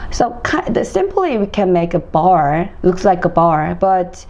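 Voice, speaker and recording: A young Korean-accented woman answers an interviewer's questions in careful, halting English, stopping and restarting to find her words. Her voice is mellow and soft-edged, and the recording sounds a little dull and muffled. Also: lowish voice, big pitch swings. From South Korea. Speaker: interview guest, teacher. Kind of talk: casual interview answers in a second language.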